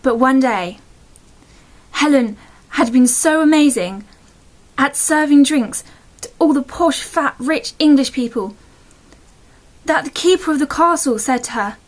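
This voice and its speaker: High-voiced woman telling a bedtime story. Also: lively intonation, unhurried.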